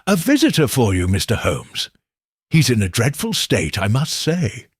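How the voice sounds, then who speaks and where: A man's voice: medium pitch, wildly swooping pitch, normal speed, slightly rough, dry recording, studio quality. Man putting on a concerned, fussing landlady. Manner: concerned, deferential.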